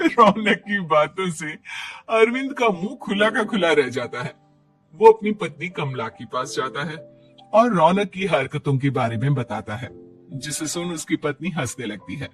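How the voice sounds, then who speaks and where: A man with a fairly high voice narrates a scripted moral tale. He works as the storyteller, with music playing behind him. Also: animated, unhurried, fairly bright tone. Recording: music under the voice.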